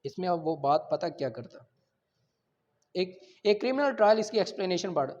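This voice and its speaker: A man's voice: high voice, a little mellow. A man with a Pakistani accent giving a law lecture, reading formal text aloud and explaining it point by point. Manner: explanatory, mixing English legal terms with Urdu. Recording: dull, muffled lo-fi audio.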